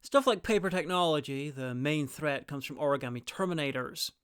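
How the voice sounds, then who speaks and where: A man's voice: a little high, a little fast, fairly bright tone, clean tone, dry recording, clean studio sound. A man narrating a scripted review as host of a guide to audio stories.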